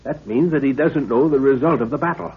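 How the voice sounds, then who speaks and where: An actor playing an authoritative middle-aged professor and adventurer in a 1930s radio drama, measured and commanding. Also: male voice, medium pitch, husky. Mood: grave, explaining. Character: steady, authoritative male professor and adventurer, a father. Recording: muffled, hissy 1930s radio recording.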